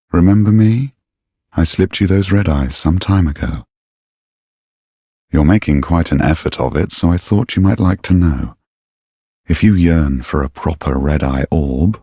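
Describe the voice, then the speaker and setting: A sly, menacing knight turned assassin from a dark fantasy game. He speaks very low and unhurriedly, with creaky gravel under a silky, haughty formality. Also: no echo, studio quality, heavily acted. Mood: sly, knowing. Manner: silky, deliberate, theatrically formal. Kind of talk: video game character dialogue.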